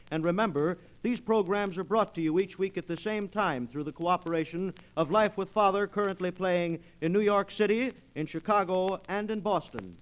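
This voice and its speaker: A male American announcer with a high-pitched voice. He delivers scripted lines in formal 1940s radio fashion. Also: low-quality recording.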